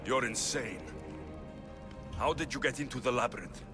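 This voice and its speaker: An actor playing a steady mercenary hero who speaks calmly and bluntly in a game cutscene. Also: male voice, medium pitch, moderate pace, shouting, music in the background, lightly acted. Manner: calm, blunt. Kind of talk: video game cutscene acting.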